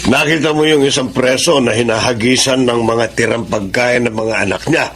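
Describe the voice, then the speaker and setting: Man acting a gravelly fatherly prison warden. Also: medium pitch, normal speed, fairly bright tone, lightly acted. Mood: encouraging. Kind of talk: Filipino radio drama acting.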